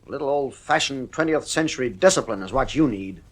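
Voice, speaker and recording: A man's voice: medium pitch, lively intonation, fairly quick, close to an everyday voice. An actor playing a stern, nervy father with a British accent and clipped, blustering speech in a 1950s radio drama. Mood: stern anger.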